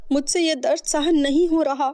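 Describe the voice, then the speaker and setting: A vain, jealous young girl, put on by a woman narrator, pitched high. She is whiny and dramatic in storybook dialogue. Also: animated, fairly quick, darkish tone. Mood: anguished pleading. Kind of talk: acted children's fairy-tale dialogue.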